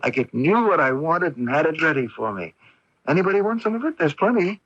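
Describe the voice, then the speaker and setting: An actor playing an odd, placid young adult son in a radio drama, polite, hesitant and matter-of-fact. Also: male voice, on the high side, big pitch swings, a little fast, fairly bright tone. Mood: pleased. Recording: dull lo-fi radio recording.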